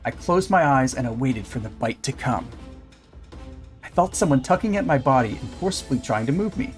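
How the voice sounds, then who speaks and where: Animated man reading a game story aloud. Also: medium pitch, normal speed. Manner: puts on character voices for dialogue. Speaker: streamer. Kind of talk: reading a visual novel aloud on a livestream. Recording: music under the voice.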